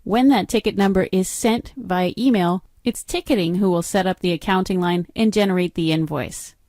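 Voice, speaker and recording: A woman's voice: fairly low pitch, normal speed, darkish tone, no room sound, studio quality. A middle-aged woman narrating a screen-recorded training walkthrough, explaining each step in a matter-of-fact instructional way. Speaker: software trainer.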